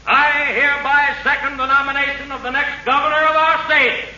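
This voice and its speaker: An actor playing a bombastic convention orator in old radio drama, declaiming in slow, drawn-out phrases. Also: male voice, very high voice, little pitch movement, bright, forceful tone, exaggerated voice. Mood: rousing. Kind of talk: 1930s radio acting, political oratory. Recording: echoey, muffled old radio recording.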